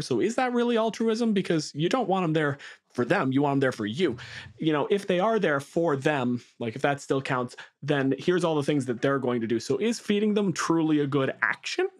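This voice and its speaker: A man narrating a tabletop roleplaying scene in an improvised, conversational style, thinking through questions aloud. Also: medium pitch.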